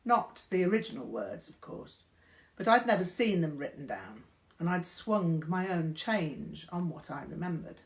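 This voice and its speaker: An older woman reading a memoir aloud in a measured, deliberate storytelling manner, indoors. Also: fairly low pitch, expressive. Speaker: reader of a memoir. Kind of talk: audiobook-style narration of a memoir. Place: indoors, small room.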